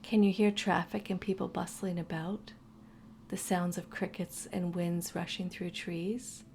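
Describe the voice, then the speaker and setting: A woman narrating a guided meditation slowly and soothingly, her breathy voice often dropping toward a whisper. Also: lowish voice, flat delivery, darkish tone, a little hiss or hum.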